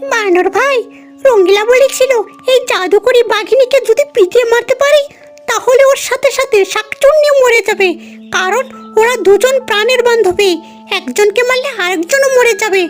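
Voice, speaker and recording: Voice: extremely high pitch, moderate pace. A performer voicing a spiteful, scheming female character in a cartoon fable, exclaiming and scolding, with music behind. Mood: conspiring.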